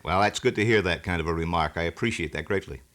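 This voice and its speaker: A middle-aged man talking live on a local radio call-in show in a folksy, long-winded way with halting explanations. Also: low voice, a bit bright. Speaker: radio talk show host being interviewed. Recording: 1970s local radio broadcast.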